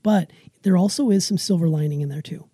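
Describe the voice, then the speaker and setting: Dark, raspy middle-aged woman. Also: lowish voice, fairly quick, no room sound, clean studio sound. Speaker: podcast co-host. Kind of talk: casual chat with emphatic opinion.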